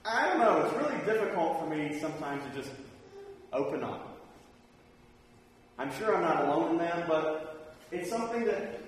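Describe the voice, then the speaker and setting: A man delivers a sermon in an informal, conversational style, with a slightly high-pitched voice. He sounds plain-spoken, recorded at a distance in a heavily echoing hall with long reverb. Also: very animated, normal speed, pauses often. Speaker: preacher. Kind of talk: sermon with personal anecdotes. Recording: echoey hall, distant mic, faint noise.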